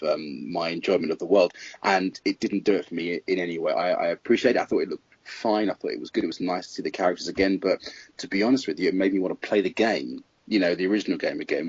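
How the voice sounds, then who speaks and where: A man's voice: deep voice, slightly rough, no echo. A man speaking as a film critic guest on a casual podcast, giving emphatic, opinionated verdicts. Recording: dull lo-fi podcast audio.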